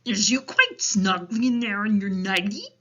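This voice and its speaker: A woman's voice: medium pitch, very animated, moderate pace, sharp tone, lo-fi sound. A woman voicing a big friendly male giant from a children's storybook, tender-hearted and speaking in quirky broken grammar. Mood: concerned, curious.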